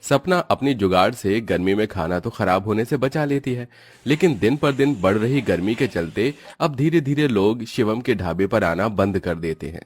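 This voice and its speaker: A man's voice: lowish voice, lively intonation, normal speed. A man reading scripted narration for a cartoon story.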